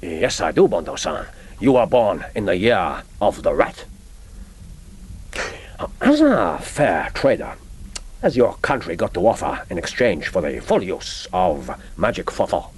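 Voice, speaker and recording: An actor playing a courteous, grave middle-aged Japanese intelligence chief with a formal, measured manner in a radio play. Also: male voice, low-pitched, very animated, darkish tone, slightly rough, exaggerated voice. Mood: courteous, teasing. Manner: formal, measured, philosophical, prompting with "huh?". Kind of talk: radio drama acting.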